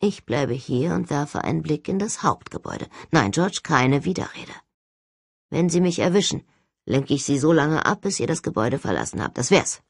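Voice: medium pitch, lively intonation, moderate pace, vocal fry, breathy edge, no room sound, clean studio sound. A narrator voicing a daring, stubborn young man who leads a ghost-hunting team with decisive authority in an audiobook. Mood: quiet, resolute.

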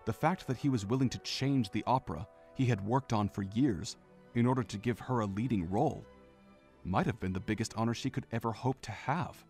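A man narrating a fan audio drama in a steady storytelling manner, with faint music behind. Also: medium pitch, a little fast. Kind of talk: scripted narration.

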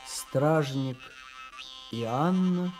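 A man giving measured, scripted narration for a radio drama. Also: fairly high pitch, over music.

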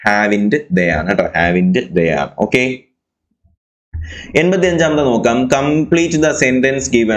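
Lowish-voiced male tutor, chatty. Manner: chatty, explanatory, often asks rhetorical questions; mixes English answer phrases into explanations. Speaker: exam coaching tutor. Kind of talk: answer key walkthrough.

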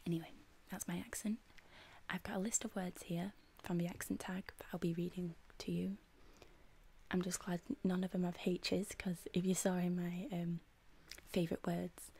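A young woman with a Mancunian accent, whispering soft-spoken ASMR and slowly repeating single words close to the mic. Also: fairly low pitch, slightly rough. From England. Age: young adult. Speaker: ASMR creator. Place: indoor studio with plain backdrop.

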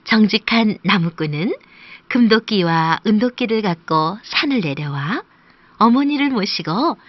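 Woman narrating a kids' folk tale, slow and lively, gentle sing-song, dry studio. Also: medium pitch, lo-fi sound, a little hiss or hum. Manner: gentle sing-song telling, sometimes hushed. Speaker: storyteller. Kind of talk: scripted children's storybook narration.

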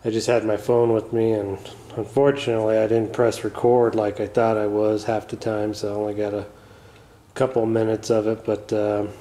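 A man's voice: lowish voice, normal speed. A man hosting a casual repair vlog, talking loosely with lots of fillers as he works on parts in a garage, filming on a phone. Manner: mumbly, relaxed, trailing off with fillers. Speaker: channel host. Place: garage, working on a floor bench.

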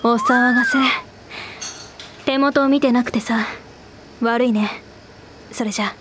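A woman playing an aloof, tomboyish schoolgirl in an anime voice drama, with a blunt, deadpan delivery. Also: a little high, unhurried, heavy background noise, lightly acted. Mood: cool, matter-of-fact. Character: curt, aloof older schoolgirl, tomboyish speech. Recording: studio voice over prominent music.

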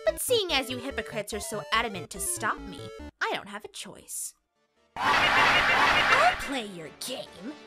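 A woman's voice: fairly high pitch, huge pitch swings, unhurried, loud music behind the voice. A woman voicing a sinister, mocking demon child in a dramatic comic dub, taunting cruelly and sometimes dropping into a whisper. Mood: bitter, menacing.

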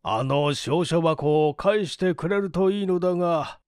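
A man voicing a polite, earnest gentleman in a story reading, deliberate and expressive. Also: a little high, smooth, no room sound, clean studio sound, natural voice. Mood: puzzled.

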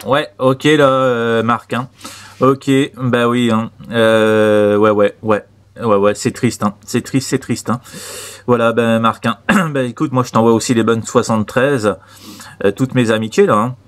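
A man's voice: medium pitch, steady tone, a little slow. A man from Normandy with a French accent, chatting casually as a radio operator in a hesitant, stumbling way that often repeats itself. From Normandy, France.

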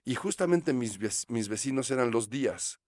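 A Mexican man in his fifties, a guest on a studio interview, telling stories in a relaxed, reflective way, speaking into a close studio microphone. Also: mid-range voice, normal speed, dry recording. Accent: Mexican Spanish. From Mexico City. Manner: reflective, gestures while talking, frequent fillers. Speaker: actor guest. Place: small studio set. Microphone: studio mic on boom arm.

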